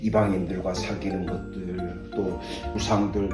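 A man's voice: low-pitched, little pitch movement, a little slow. An older man, a pastor grandfather, telling Bible stories to grandchildren in a conversational, simply explained way. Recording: roomy echo, distant mic, faint music.

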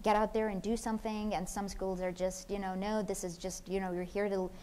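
A woman's voice: mid-range voice, level tone, brisk, a little mellow. A woman speaking on a conference panel, answering questions in an earnest, thoughtful way with frequent restarts. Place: conference hall stage.

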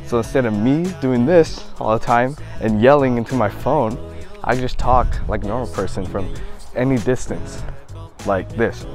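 A young man with a soft, quiet voice, talking casually to the camera through a clip-on mic, first out on a suburban street, then inside a restaurant. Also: medium pitch, normal speed, faint music behind. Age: young adult. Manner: soft-spoken, quiet, easygoing. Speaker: vlogger. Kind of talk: casual vlog talk to camera. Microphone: clip-on lapel mic.

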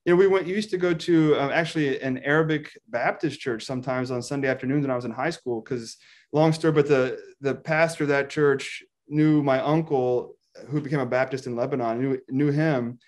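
A man in his thirties from Texas, a scholar talking as a guest on a video call, animated, laughing often and restarting his sentences. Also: medium pitch, a little fast. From Texas, United States. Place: indoor room with plain wall. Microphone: video call webcam.

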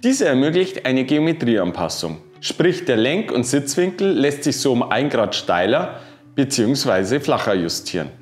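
A man in his thirties giving a scripted bike review, reading specifications in an even, informative way. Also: medium pitch, moderate pace. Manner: even, informative delivery dense with specs. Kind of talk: scripted product review narration. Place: wooden-walled workshop room, indoors. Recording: roomy sound with faint music.

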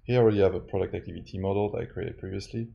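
A man narrating a step-by-step software tutorial as a demo presenter. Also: sits low, fairly even intonation, racing, vocal fry, highs cut off. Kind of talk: scripted tutorial narration over a screen recording.

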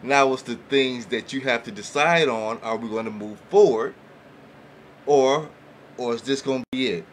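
A man's voice: medium pitch, normal speed, slight background noise. A man talking casually and colloquially in a podcast panel, often checking that listeners follow. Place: small indoor podcast room. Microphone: boom-arm studio microphone.

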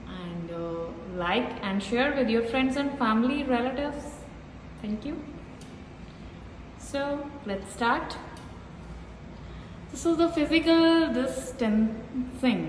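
Woman vlogger, unhurried and repetitive, phone mic in a boomy room with a long reverb tail. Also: a little hiss or hum. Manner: simple repetitive pointing-out explanations. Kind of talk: casual gym tour vlog. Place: small indoor building gym. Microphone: phone held while filming. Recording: echoey room with hall reverb.

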